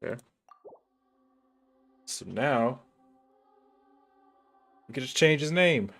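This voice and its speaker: A man's voice: mid-range voice, expressive, slow pace, gravelly, a bit bright. A young man streaming a game, chatting casually in a rambling, laid-back way. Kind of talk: casual gameplay commentary. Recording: stream with faint game music.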